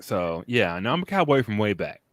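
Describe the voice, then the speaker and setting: A man hosting a casual film podcast, rambling and relaxed, often laughing between thoughts. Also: lowish voice, fairly quick, no room sound. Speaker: podcast host.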